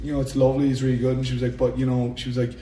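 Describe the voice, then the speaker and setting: A man's voice: mid-range voice, monotone, dark tone. A man co-hosting a podcast, telling stories in casual chat and quoting people as he goes. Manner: storytelling, quoting others with quick laughs. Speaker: podcast co-host. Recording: echoey room, mic at a distance.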